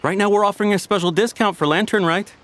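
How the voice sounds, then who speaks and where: A man's voice: high voice, fast talker, background noise, natural voice. A man voicing an eager, polite shopkeeper in a video game, with obsequious salesman patter. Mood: eager, ingratiating. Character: eager, polite male tea shop merchant.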